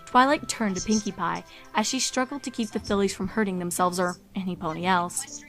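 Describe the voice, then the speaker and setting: A woman's voice: mid-range voice, brisk, a bit bright. A woman reading scripted story narration as the narrator of a fan fiction audio drama. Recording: music behind the voice.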